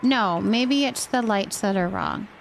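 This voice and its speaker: A woman chatting casually on a livestream as host, musing indecisively out loud while she decorates. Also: medium pitch, measured pace, vocal fry, a little hiss or hum.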